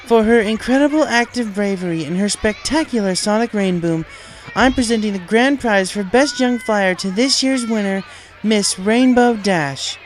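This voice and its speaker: Gracious royal pony princess male performer, high and ceremonial, proclaiming in a storybook. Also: moderate pace, a little hiss or hum, natural voice. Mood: proud, ceremonial. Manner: formal, proclaiming.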